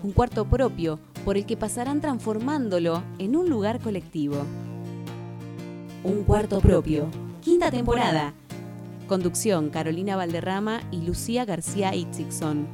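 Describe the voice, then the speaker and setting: A woman's voice: medium pitch, animated, normal speed. A woman announcer reading a scripted radio show intro. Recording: voice over clear guitar music. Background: guitar music.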